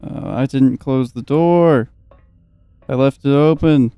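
Young man, casual gaming host, smooth mellow voice, joking, sweary, dry close mic. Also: mid-range voice, slow, no echo, soft background music. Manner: joking, sweary, reading game text aloud. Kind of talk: casual let's play commentary. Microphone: close, dry microphone.

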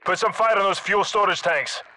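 A man's voice: high voice, moderate pace, sharp tone, slight background noise, poor audio. A man playing a battle-hardened Scottish special forces squad leader in a video game, giving terse, urgent military orders. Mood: brisk, commanding.

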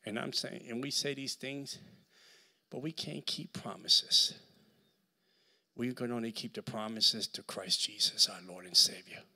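A middle-aged man with a Puerto Rican accent preaching a sermon with a handheld mic in a large church hall, swinging between shouts and near-whispers. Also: mid-range voice, measured pace, gravelly. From Puerto Rico. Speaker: guest preacher.

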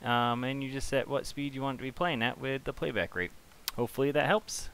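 A man hosting a casual software tutorial, talking informally and thinking aloud as he works. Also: mid-range voice, a little fast. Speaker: tutorial host. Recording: clear background hiss.

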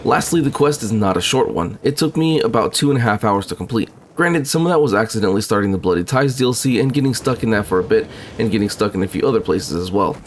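A man narrating a scripted game walkthrough in a brisk, instructional way. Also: on the low side, animated, a little hiss or hum. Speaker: gaming video guide host.

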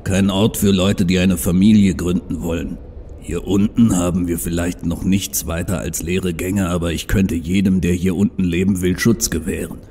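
Casting: male actor as proud, stubborn troll visionary, deep and mellow, earnest and bitter, for audio drama. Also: fairly even intonation, normal speed, slight background noise. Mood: determined. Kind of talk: fan audio drama acting.

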